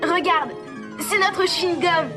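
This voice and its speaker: A woman's voice: extremely high pitch, slow pace, music in the background. A woman playing a carefree, upbeat young schoolchild in a children's cartoon, exuberant and chirpy. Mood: excited. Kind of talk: dubbed children's cartoon acting.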